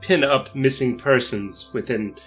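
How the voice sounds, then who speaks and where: A man improvising in-character talk in a tabletop roleplaying game, measured and thinking aloud. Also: medium pitch, faint music behind.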